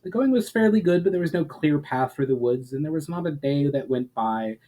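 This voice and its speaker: A man reading a fantasy story aloud as a narrator, in a measured, expressive storyteller's manner. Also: a little high. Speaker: author reading fiction aloud.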